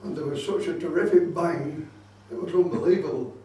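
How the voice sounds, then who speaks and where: A man's voice: on the high side, fairly quick, mellow. A man giving an informal hands-on club talk, explaining things and repeating his safety warnings insistently. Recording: very echoey room, distant microphone.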